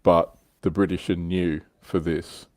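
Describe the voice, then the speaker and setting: Low-voiced man, casual and close. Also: little pitch movement, unhurried, no echo. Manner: explanatory storyteller, casual swearing. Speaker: livestream host. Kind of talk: casual chat on a hobby livestream.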